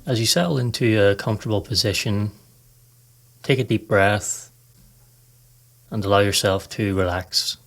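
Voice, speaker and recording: A man narrating a scripted guided meditation in a calm, measured, instructive way. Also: lowish voice, vocal fry, faint music behind. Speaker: podcast host and meditation guide.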